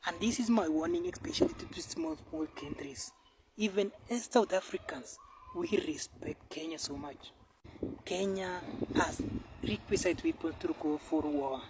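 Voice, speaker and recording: A speaker of medium pitch delivers emphatic commentary in the form of a warning. The statements are firm, declarative and repeated for weight. Clear noise runs behind the voice. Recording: clearly noisy recording with clicks.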